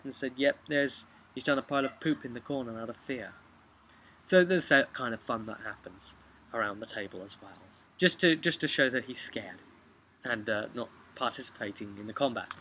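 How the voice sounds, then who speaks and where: A young man telling a casual, halting recap of a tabletop game to camera as the game master, speaking outdoors. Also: mid-range voice, sharp tone, slight background noise. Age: young adult. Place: outdoors on a garden bench by a brick house.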